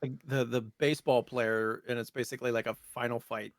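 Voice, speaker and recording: A man's voice: mid-range voice, fairly even intonation, brisk, no room sound. A man co-hosting a podcast, chatting casually and jokingly about gaming news. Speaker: podcast co-host.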